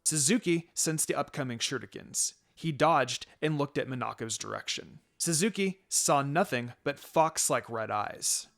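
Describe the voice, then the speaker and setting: A man's voice: medium pitch, lively intonation, a little slow, vocal fry, hardly any pauses, a bit bright. Man reading a story aloud. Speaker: fan fiction reader. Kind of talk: scripted storytelling narration.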